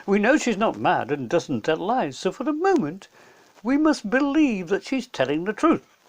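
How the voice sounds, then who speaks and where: A wise, gently firm male professor from a children's tale, acted by a man. He reasons in a measured, questioning way, his intonation swinging widely. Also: sits high, very animated, pitched above the performer's own voice. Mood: reasoning. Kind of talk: children's storybook character voice.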